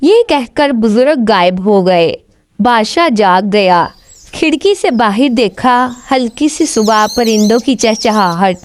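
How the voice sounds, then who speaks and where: A woman's voice: fairly high pitch, expressive, slow pace. A woman storyteller reading a scripted children's tale as narrator.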